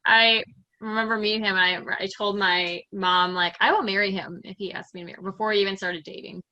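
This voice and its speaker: A woman's voice: mid-range voice, fairly even intonation, a little fast, bright, forceful tone, muffled recording. A middle-aged woman, a guest in a casual interview, chatty, peppering her speech with "like" and laughing easily. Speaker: interview guest, software company employee. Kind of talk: casual conversation.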